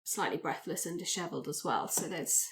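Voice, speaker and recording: A woman's voice: sits low, animated, a little fast, a bit bright, rough recording. A woman player casually improvising character details in a roleplay podcast, tentatively thinking aloud.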